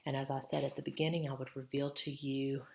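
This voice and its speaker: A woman's voice: rumbling low, little pitch movement, fairly quick, gravelly, a little mellow, a little hiss or hum. A woman reading a storybook aloud for schoolchildren and chatting casually to them as the reader. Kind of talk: storybook narration and casual commentary to children.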